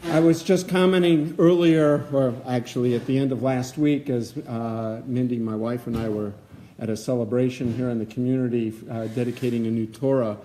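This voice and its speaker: A man's voice: mid-range voice, dark tone. A man, co-president of a synagogue, giving loose, conversational welcoming remarks in a hall.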